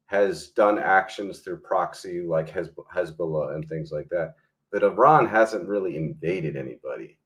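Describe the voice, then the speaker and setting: A man talking as a guest on a talk show, arguing bluntly and emphatically, swearing freely. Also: fairly low pitch. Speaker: guest historian and filmmaker. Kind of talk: casual opinionated discussion.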